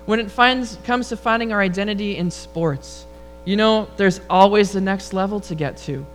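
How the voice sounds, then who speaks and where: A man with a high, rather feminine-sounding voice, preaching a relaxed, humorous sermon and leading prayer before a congregation. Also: normal speed, soft background music. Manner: relaxed, storytelling delivery with humor. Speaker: pastor. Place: church sanctuary before a congregation.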